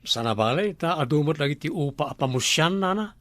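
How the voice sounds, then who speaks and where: A man's voice: on the high side, lively intonation, a little fast, a bit bright, no room sound. Guy doing a laid-back young village guy, jokey, dry close sound. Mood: joking.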